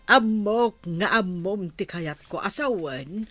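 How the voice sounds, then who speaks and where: A woman's voice: mid-range voice, lively intonation, slow, a bit bright, faint music behind. A Filipino woman playing a probing, reproachful older mother in a radio drama, questioning her grown son pointedly.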